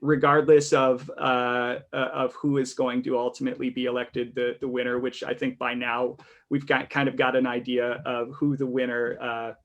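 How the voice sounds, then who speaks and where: A man's voice: medium pitch, little pitch movement, normal speed, fairly bright tone, muffled recording. A man with a tenor voice hosting a casual podcast chat, rambling with lots of restarts and fillers. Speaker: podcast host.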